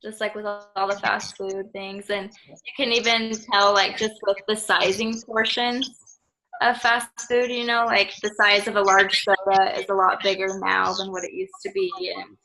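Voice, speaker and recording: A woman's voice: medium pitch, flat delivery, moderate pace, bright, forceful tone, poor audio. A young American woman speaking casually as a participant in a student discussion. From Idaho, United States. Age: young adult.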